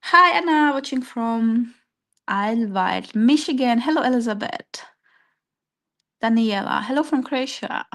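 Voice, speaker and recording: Slow-talking Czech woman teaching crafts. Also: a little high, animated, fairly bright tone. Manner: searches for English words, hesitant phrasing. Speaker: craft workshop instructor. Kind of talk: informal live step-by-step tutorial.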